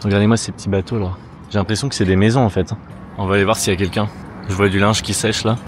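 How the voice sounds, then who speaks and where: A man's voice: lowish voice, fairly even intonation, fast, a little hiss or hum. A man in his thirties from France, talking casually and self-mockingly to the camera outdoors by city roads, with some swearing. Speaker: travel vlogger. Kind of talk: casual vlog talk to camera.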